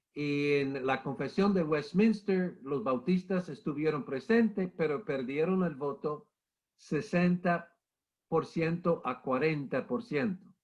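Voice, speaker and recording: A middle-aged man with the flu giving an emphatic church history lecture, repeating key points and calling listeners brother. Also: fairly high pitch. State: has the flu, coughing. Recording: muffled lo-fi recording.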